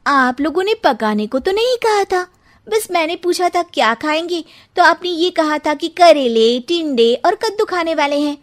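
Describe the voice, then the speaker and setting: A woman's voice: extremely high pitch, lively intonation, normal speed. A woman voicing an indignant young bride in a cartoon, questioning in a pointed, exasperated way. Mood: defiant. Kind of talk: cartoon dialogue, Hindi moral story.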